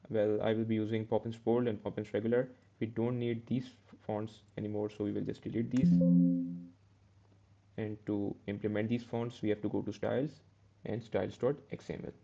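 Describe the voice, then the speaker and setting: A man's voice: lowish voice, little pitch movement, moderate pace, creaky, warm tone, highs cut off. A man teaching a step-by-step coding tutorial over a screen recording, correcting himself as he goes. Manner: step-by-step, self-correcting with "uh" and "okay". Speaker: programming tutor.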